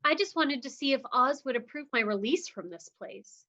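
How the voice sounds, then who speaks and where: Woman playing a young patient girl, high lively voice, dull lo-fi audio. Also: moderate pace, exaggerated voice. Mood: defiant. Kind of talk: livestream script reading.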